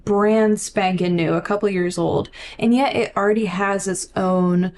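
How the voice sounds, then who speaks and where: A woman chats casually as a podcast co-host. Her voice sits at an average pitch, with lots of informal filler phrasing. A little background noise sits under the recording. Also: steady tone, a little slow, darkish tone. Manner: chatty, heavy use of "like". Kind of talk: casual book discussion.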